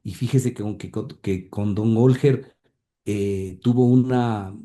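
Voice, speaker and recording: An Ecuadorian man reminiscing as an interview guest, halting and repeating words as he recalls. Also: lowish voice, steady tone, warm tone.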